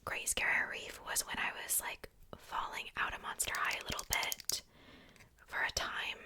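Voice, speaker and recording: A woman whispering softly in a casual, chatty ASMR style, rambling along with conversational asides. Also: normal speed. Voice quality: soft unvoiced whisper. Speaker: ASMR video host showing a doll collection.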